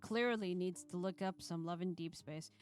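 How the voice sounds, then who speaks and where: A woman streamer reading a visual novel aloud, expressive, casual and blunt between passages. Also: lowish voice, normal speed, soft background music.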